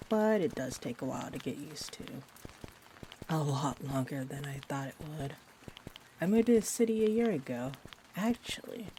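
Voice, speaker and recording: Over a faint bed of rain, a woman plays a friendly vampire bartender with a low-pitched voice. She is gentle and a little hesitant, drifting into whimsical tangents. It is an intimate comfort roleplay, soft and sweet in manner. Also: a little hiss or hum, low-quality recording. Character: sweet rambling friendly female vampire bartender, looks twenty-four. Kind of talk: roleplay audio, soft intimate rambling monologue. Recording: steady rain ambience behind the voice.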